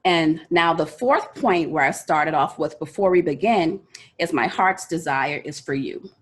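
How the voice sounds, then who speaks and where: A woman from the Bahamas with a Bahamian accent leads a motivational lesson on life goals. Her voice sits low and deep. She talks earnestly and directly, and her pace often runs fast. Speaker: life coach teaching a session. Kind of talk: motivational teaching talk.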